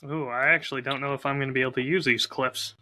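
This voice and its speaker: A man's voice: medium pitch, fairly even intonation, fast, bright, forceful tone. A man chatting casually as a podcast co-host, with a wry, self-deprecating way of talking. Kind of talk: casual chat.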